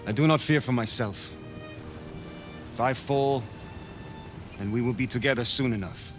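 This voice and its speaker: An actor playing a vengeful young Viking war leader in a video game, grave and oratorical in delivery. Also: male voice, medium pitch, music in the background, close to an everyday voice. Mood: grave, pleading. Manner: slow, solemn, oratorical delivery. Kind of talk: dramatic cinematic game acting.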